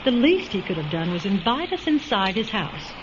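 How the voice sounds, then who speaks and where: An actress playing a bitter, nagging wife in a vintage-style radio drama, theatrical and full of complaint. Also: female voice, mid-range voice, very animated, normal speed, noisy background, natural voice. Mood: sour. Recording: dull lo-fi radio recording, loud music.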